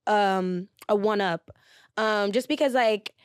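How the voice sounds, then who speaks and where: A young woman from Pasadena with a slightly raspy voice, chatting as a guest on an interview podcast, full of fillers and quick laughs. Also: medium pitch, no echo, clean studio sound. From Pasadena, California. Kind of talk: casual interview chat.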